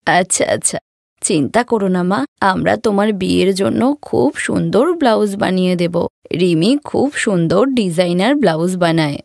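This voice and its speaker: A woman voicing a kindly, chatty widowed mother who runs a boutique, in an animated story. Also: fairly low pitch, moderate pace, gravelly, dry recording, clean studio sound. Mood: obliging. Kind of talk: Bengali animated moral story acting.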